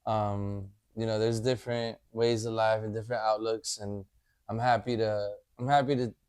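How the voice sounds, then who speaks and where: A man in his thirties, a podcast guest, talking in a relaxed way and often adding "you know". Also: on the low side, normal speed, clear, resonant tone, no echo, studio quality. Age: 30s. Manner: laid-back, leans on "you know" a lot. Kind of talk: casual chat.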